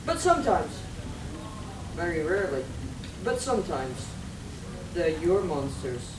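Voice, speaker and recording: A woman's voice: on the low side, very animated, a little slow. Woman as arch radio serial narrator, echoey hall. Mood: mock-dramatic. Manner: arch, mock-dramatic. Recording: echoey, noisy hall recorded from far off.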